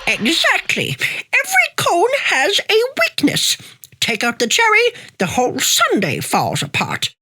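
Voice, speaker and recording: A woman's voice: very high-pitched, wildly swooping pitch, unhurried, bright, forceful tone, full character voice. A woman playing a sassy, unstoppable old grandma in a radio play, bossy and wisecracking. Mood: confident. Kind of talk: radio play character acting.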